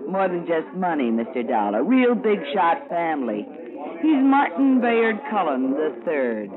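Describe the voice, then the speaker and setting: Brassy, animated chorus girl. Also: lowish voice, unhurried, over a noisy crowd. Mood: pushy, envious. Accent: American. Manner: brassy, slangy. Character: pushy, slangy young chorus girl hungry for a break. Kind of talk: 1950s radio acting. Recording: tinny 1950s radio, music behind.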